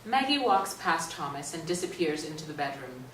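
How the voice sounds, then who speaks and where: A woman's voice: deep voice, big pitch swings, normal speed. A woman narrating stage directions as a scripted reading for a play. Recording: roomy, distant stage recording, faint noise.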